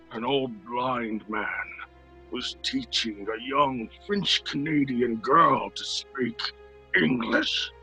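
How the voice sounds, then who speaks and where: Menacing, lonely created monster for radio horror; harsh gravelly growl, animated swings, music bed. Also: male voice, mid-range voice, full character voice. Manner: slow, halting, fragmented phrases. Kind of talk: old-time radio horror acting. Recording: music under the voice.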